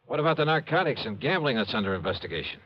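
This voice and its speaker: A man's voice: medium pitch, very animated, racing. A man playing a suspicious, insistent American insurance investigator in a 1950s radio detective drama, clipped and pressing. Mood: skeptical, pressing. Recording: dull vintage radio recording with music.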